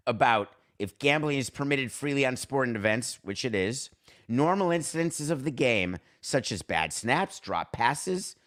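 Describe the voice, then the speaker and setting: A man's voice: mid-range voice, a bit bright. A man hosting a solo sports talk show, giving opinionated commentary in an emphatic, sardonic way with rhetorical questions. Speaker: sports talk podcast host.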